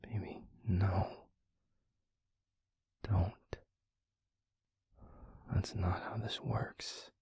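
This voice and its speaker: A man playing a tender, protective werewolf boyfriend in an intimate roleplay audio drama, speaking in a hushed, breathy, pleading way. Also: little pitch movement, extremely slow, long pauses, in a whisper, exaggerated voice. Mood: firm, protective. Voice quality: breathy, gravelly, husky low voice. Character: tender, protective, stubbornly caring adult male werewolf boyfriend.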